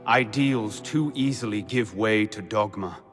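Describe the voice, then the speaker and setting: An actor playing a solemn, reflective young assassin who narrates a video game in a somber, deliberate way. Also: male voice, lowish voice, fairly bright tone. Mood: somber reflection. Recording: reverberant hall, orchestral music behind.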